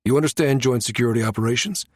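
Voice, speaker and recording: Man acting a firm, measured security chief. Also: mid-range voice, darkish tone, dry recording, studio quality, close to an everyday voice. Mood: firm, explanatory. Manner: measured, matter-of-fact, authoritative. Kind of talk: audiobook character dialogue.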